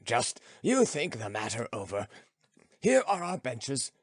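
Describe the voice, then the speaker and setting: A man's voice: medium pitch, huge pitch swings, unhurried, dry recording, studio quality, pitched above the performer's own voice. A man voicing an old philosopher, lofty and teasing, questioning emphatically in an audiobook story. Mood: ironic, instructive. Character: old philosopher sage, lofty, teasing, growling, addressing youths.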